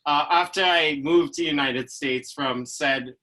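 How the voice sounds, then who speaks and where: Trinidadian-accented male doctor, middle-aged, bright tone, chatty anecdotal delivery. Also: a little high, unhurried. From Trinidad and Tobago. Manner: chatty, storytelling with fillers like "like" and "you know". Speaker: infectious disease physician guest. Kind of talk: relaxed anecdotal conversation.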